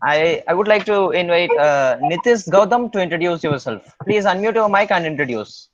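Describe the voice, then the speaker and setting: A high-voiced man runs an online meetup, calling on each guest in turn with lots of hesitant fillers. The video call sound is dry and muffled. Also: animated, moderate pace, no room sound. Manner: hesitant, many "uh" fillers, calls names in turn. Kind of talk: casual virtual meeting.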